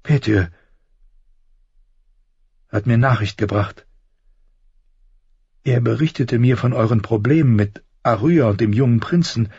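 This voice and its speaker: A man voicing an anxious, loving noble lady with a soft, earnest manner in an audiobook. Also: medium pitch, a little slow, pauses often, husky, pitched above the performer's own voice. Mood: worried. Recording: dull, roomy audiobook recording.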